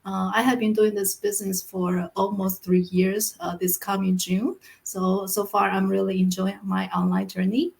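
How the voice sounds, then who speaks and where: Woman, from taiwan, host, upbeat, halting, lots of fillers. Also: mid-range voice, little pitch movement, gravelly, darkish tone. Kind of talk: casual live chat.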